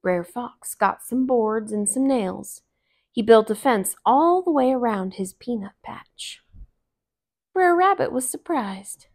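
Slow, expressive woman reading a storybook. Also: fairly high pitch. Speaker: storybook reader. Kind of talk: children's read-aloud narration. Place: small living room, indoors on a couch.

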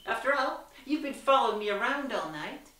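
A woman's voice: a little high, animated, moderate pace, pushed and bright. A woman voicing a snarky, smug, bluntly flirtatious woman in a dramatised story reading. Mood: brazen, teasing. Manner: teasing, brazen, smirking. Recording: roomy, distant from the mic.

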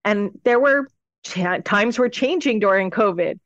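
A woman's voice: medium pitch, unhurried, dry recording. A woman speaking as a podcast guest and business adviser, explaining conversationally and emphatically, step by step. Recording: dull lo-fi audio.

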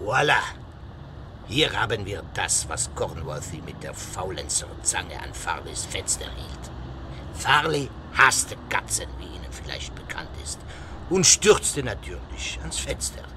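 An actor playing a shrewd, self-assured older male detective in a German audio drama, speaking deliberately and theatrically with rhetorical questions. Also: deep voice, big pitch swings, noisy background. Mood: wry, satisfied. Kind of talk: German radio play or audiobook acting.

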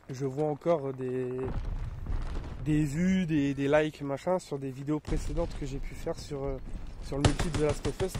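A man's voice: a little high, noisy background. A man in his thirties with a cold, chatting casually and rambling over his gameplay at home. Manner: rambling, many fillers and restarts. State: has a cold. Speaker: gaming YouTuber. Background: game gunfire and effects.

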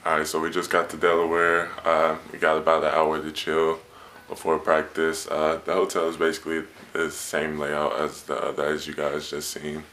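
A man's voice: extremely low pitch, completely flat delivery, normal speed, pushed and bright, a little hiss or hum. A young man, a college basketball player, talking casually to a phone camera in a hotel room, in an easygoing way with lots of fillers. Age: young adult. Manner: laid-back, filler-heavy talk. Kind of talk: casual vlog narration. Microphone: phone camera at arm's length.

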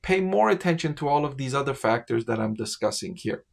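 A middle-aged man talking casually to camera as a finance commentator from a home office, often checking in with the viewer with a quick "right?". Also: mid-range voice, expressive, vocal fry. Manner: conversational, asks "right?" to the viewer.

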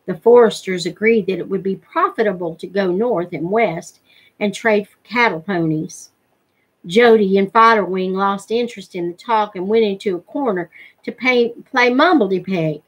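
A woman's voice: medium pitch, normal speed, husky, rough recording. A middle-aged woman reading a novel aloud as a storyteller at home. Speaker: reader of a novel read-along. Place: living room at home, indoors.

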